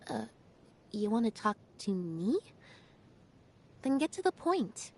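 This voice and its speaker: An actress playing an aloof, quiet young female magician's assistant in a video game, with a deadpan, drowsy and reserved manner. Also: fairly high pitch, takes it slowly, breathy edge, full character voice. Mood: deadpan, composed. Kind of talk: video game character voice lines.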